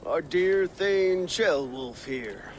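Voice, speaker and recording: An actor playing a stern, resolute Viking warlord with a commanding delivery in a game cutscene. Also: male voice, a little high, expressive, a little hiss or hum. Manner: resolute, deliberate. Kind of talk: video game cutscene acting.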